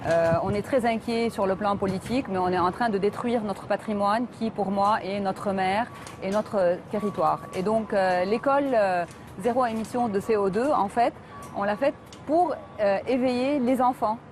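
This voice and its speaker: A middle-aged woman from Lebanon, a guest on a television talk show, answering earnestly and pausing often with hesitations. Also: mid-range voice, music in the background. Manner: earnest, many hesitations with euh. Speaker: environmental activist guest.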